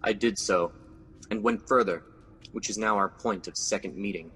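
A brooding guilty king, low voice, bright forceful tone, reverberant radio play. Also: normal speed, slightly rough, exaggerated voice. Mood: commanding. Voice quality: brooding, intense. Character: Macbeth, a guilt-ridden scheming Scottish king. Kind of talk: classic radio drama acting.

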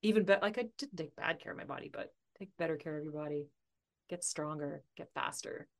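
Woman, forties, podcast guest, laughs a lot, slightly low voice. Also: a little fast. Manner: animated, laughs often, thinks aloud. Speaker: podcast guest, author and coach. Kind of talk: casual interview chat.

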